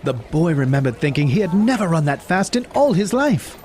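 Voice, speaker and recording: A man's voice: on the high side, very animated, fairly quick, darkish tone, slight background noise. A man narrating a scripted audio drama as a storyteller.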